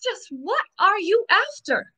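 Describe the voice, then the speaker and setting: A young actress playing a scheming, sugary gold-digger bride in a theatrical, cooing old-time radio mystery style. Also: female voice, very high voice, big pitch swings, takes it slowly, bright, forceful tone, exaggerated voice. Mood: indignant. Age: young adult. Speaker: actor. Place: indoors, dark backdrop. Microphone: video call.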